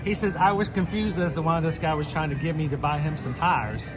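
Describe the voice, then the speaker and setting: A middle-aged man with a Georgia Southern accent, hosting a casual livestream chat and telling stories with easy laughs. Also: fairly high pitch. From Atlanta area, Georgia. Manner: chatty, storytelling, quick to laugh. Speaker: livestream host. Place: outdoors at a theme park. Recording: outdoor park audio with music behind.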